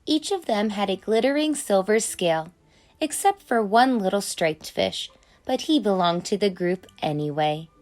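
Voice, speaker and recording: A woman's voice: medium pitch, animated, moderate pace, darkish tone. Woman reading a children's story over music. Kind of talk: expressive children's story read-aloud.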